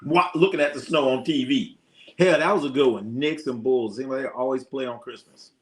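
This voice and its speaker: A man's voice: mid-range voice, fairly quick. An American man hosting a sports livestream in casual, slangy talk, giving blunt opinions and repeating phrases for emphasis. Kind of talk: casual live sports commentary, opinionated chat.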